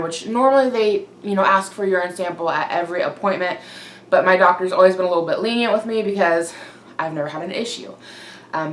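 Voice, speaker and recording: A young woman vlogging in a casual, chatty way, slightly congested, breathy and winded from a cold. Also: on the low side. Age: young adult. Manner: informal, chatty. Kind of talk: casual chat to camera. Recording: roomy echo, clear background noise.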